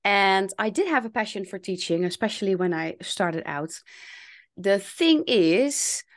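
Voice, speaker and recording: A woman in her forties with a Dutch accent, talking as a guest on a podcast. She tells her story in long, reflective stretches and voices her own past thoughts aloud. Her voice sits at a middle pitch. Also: animated, a little slow. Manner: reflective, speaks in long runs with quoted inner thoughts. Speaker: English coach, guest.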